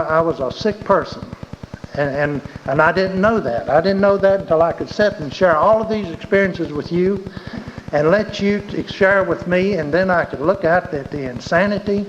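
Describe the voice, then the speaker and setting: A man telling his recovery story at a meeting in a plain, halting way, often repeating words. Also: high voice, a little hiss or hum. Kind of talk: personal recovery testimony. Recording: dull recording with long hall reverb.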